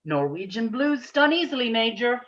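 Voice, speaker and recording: Woman acting an evasive pet-shop keeper, hesitant, blithely insistent, hugely animated intonation, radio comedy, muffled sound. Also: fairly high pitch, normal speed. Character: evasive, shifty pet-shop keeper denying every fault. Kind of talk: amateur radio comedy sketch. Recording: dull, band-limited recording.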